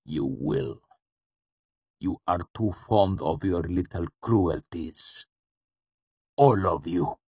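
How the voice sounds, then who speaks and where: A man voicing a hooded dark lord in a fantasy story, cool and soft, speaking with patient, polite menace. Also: low-pitched, creaky, slightly rough, dry recording, full character voice. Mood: stern. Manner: calm, polite, deliberate menace.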